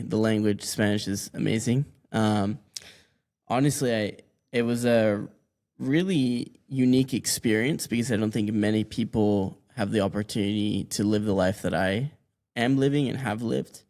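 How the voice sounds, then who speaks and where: A man in his twenties who grew up in Mexico, telling his story as a podcast guest in a relaxed, rambling way with many restarts. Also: on the low side, normal speed. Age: 20s. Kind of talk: casual interview answers.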